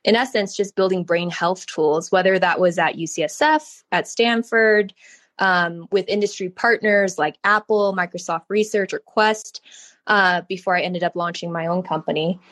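Woman guest in her thirties, from California, relaxed lower voice, telling her story brightly. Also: normal speed. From California, USA. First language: Mandarin Chinese. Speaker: podcast guest, startup founder. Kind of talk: conversational interview storytelling.

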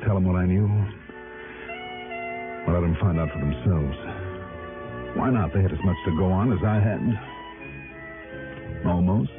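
An actor playing a world-weary, hard-boiled private investigator in a radio detective drama, brooding and dogged in clipped phrases. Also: male voice, low-pitched, measured pace, long pauses. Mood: grim, brooding. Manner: clipped, brooding, self-questioning delivery. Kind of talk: 1950s-60s radio detective drama narration and dialogue. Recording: vintage tinny radio recording, music behind.

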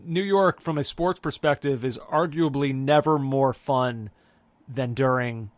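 A man's voice: medium pitch, unhurried, dry recording. A man hosting a soccer podcast with enthusiasm, steering the talk through rambling asides. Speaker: podcast host.

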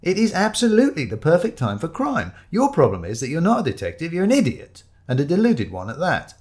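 A man's voice: a little high, huge pitch swings, fairly quick, darkish tone, voice pushed up. A man voicing an exasperated, cynical companion who scolds his detective friend in a comic story.

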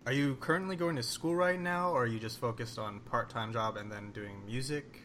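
An upbeat man hosts a casual interview, often breaking into laughter. His voice sits at an ordinary pitch, and a faint hiss runs behind it. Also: moderate pace, a bit bright. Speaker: interviewer host.

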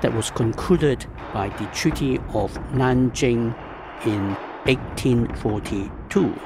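A man who is a professor, giving deliberate, academic interview answers. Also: mid-range voice, gravelly, background noise.

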